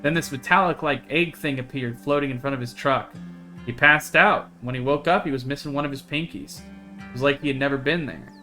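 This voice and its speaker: A man's voice: mid-range voice, brisk, pushed and bright. A man voicing a chatty, earnest young woman who hunts cryptids in a visual novel, with a folksy, eager way of talking. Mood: excited. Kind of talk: visual novel dialogue read aloud. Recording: music behind the voice.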